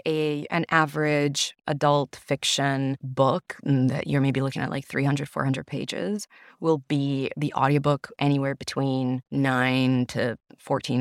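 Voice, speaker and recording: A woman's voice: a bass voice, no room sound. A woman whose first language is Italian chats in a podcast interview about her work as an audiobook narrator and actor. She sounds eager and effusive and sprinkles her talk with "you know." The sound is close and dry, with no room around it.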